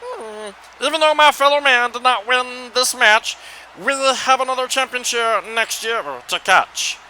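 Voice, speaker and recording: A man's voice: very high-pitched, unhurried, bright, forceful tone, a little hiss or hum, exaggerated voice, poor audio. A man voicing an earnest cartoon wrestler's supporter, humming and speaking in sing-song rhymes. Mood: hopeful. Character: earnest, rhyming male supporter of a bear wrestler. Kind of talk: scripted cartoon acting.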